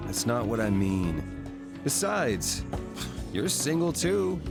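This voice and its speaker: Casting: evasive slacker boyfriend for a video game, expressive mid-range delivery, clear music behind. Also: male voice, mid-range voice, slow, heavily acted. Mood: defensive. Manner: hesitant, evasive. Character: evasive, slacker boyfriend man dreading marriage. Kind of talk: naturalistic video game voice acting.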